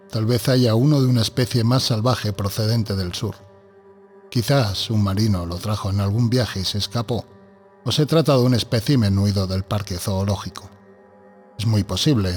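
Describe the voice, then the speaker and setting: A man playing a calm hospital doctor with a husky voice in a dramatized audiobook. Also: lowish voice. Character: male hospital doctor calmly theorizing. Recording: music under the voice.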